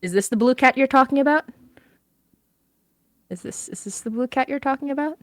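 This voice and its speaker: A woman chatting casually on a livestream, animated and giggly, with geeky enthusiasm. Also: high-pitched, fairly quick, no room sound. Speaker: guest on a fundraising livestream.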